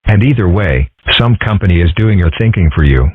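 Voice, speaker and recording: Casting: male actor for earnest young philosopher fox in a comic dub, deep-pitched, dry and articulate, dull lo-fi recording. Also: expressive, fairly quick, husky, no room sound. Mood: dry, thoughtful. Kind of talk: comic strip dub, character acting. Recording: muffled, dull, dry audio.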